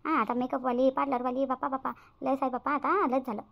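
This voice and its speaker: Woman acting fast, high-pitched blunt sister-in-law. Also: level tone, gravelly. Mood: grumbling. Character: blunt, quarrelsome young sister-in-law at a family engagement. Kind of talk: Marathi family comedy cartoon dialogue. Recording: slightly dull lo-fi audio.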